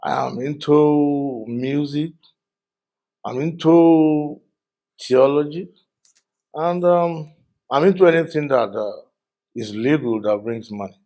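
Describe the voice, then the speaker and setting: An older man from Nigeria with a Nigerian accent, a podcast guest talking casually in a studio, halting and full of fillers. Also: on the high side, mellow. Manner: halting, with frequent fillers and restarts. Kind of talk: casual interview talk.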